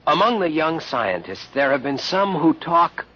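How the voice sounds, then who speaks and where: An actor playing an earnest young scientist cadet, formal and deferential, in an old-time radio drama. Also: male voice, on the high side, big pitch swings, a little slow. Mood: earnest, anxious. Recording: muffled, hissy old radio recording.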